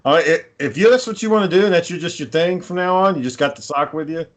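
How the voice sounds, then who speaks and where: A man's voice: fairly high pitch, quick pace. A male podcast co-host chats casually and tells everyday stories. He is blunt and swears freely as he goes. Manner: profane, blunt. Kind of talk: casual chat, telling stories.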